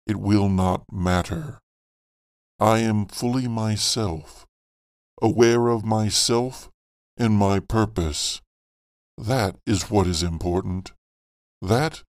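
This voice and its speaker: A brooding villain of the occult, acted by a man, delivers a melodramatic monologue at a very unhurried pace. The voice is low and close with no room sound, grandly self-absorbed and full of patient menace. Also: a little mellow, no room sound. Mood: menacing, self-satisfied. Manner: theatrical, deliberate, melodramatic monologue. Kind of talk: dramatic scripted monologue.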